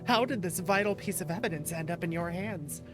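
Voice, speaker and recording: A woman plays an earnest detective thanking a stranger by letter in a game. The voice is a little low and lightly formal. Game music plays clearly underneath. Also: brisk, close to an everyday voice.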